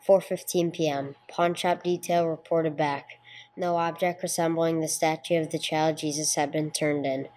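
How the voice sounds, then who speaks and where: A woman's voice: low-pitched, fairly even intonation, moderate pace, a little mellow, close to an everyday voice. A woman playing a terse, dogged police sergeant who narrates a case in a radio play, in a clipped, matter-of-fact way. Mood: pressing, matter-of-fact.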